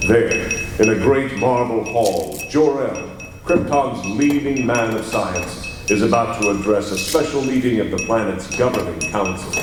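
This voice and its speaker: An actor playing an earnest, confiding newspaper reporter hero who tells a story in old radio-drama style. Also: male voice, lowish voice, measured pace, close to an everyday voice. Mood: grand storytelling. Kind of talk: 1940s-style radio acting. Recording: distant, echoey hall, faint noise.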